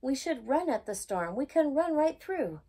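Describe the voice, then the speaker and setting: A woman's voice: high-pitched, very animated, moderate pace, warm tone, voice pushed up. A woman voicing a brave, clever young male bison in a children's storybook, speaking with emphatic determination. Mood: determined.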